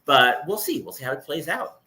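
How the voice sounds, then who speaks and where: A man's voice: on the high side, sing-song, brisk, sharp tone. A man giving his opinions casually as a guest on a livestream panel. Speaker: panel guest, entertainment journalist.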